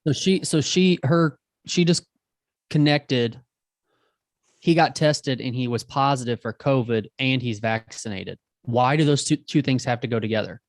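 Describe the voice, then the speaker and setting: A man's voice: mid-range voice, unhurried, dry recording. A man co-hosting a podcast, asking probing questions with frequent restarts and fillers. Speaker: podcast co-host. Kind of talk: casual chat.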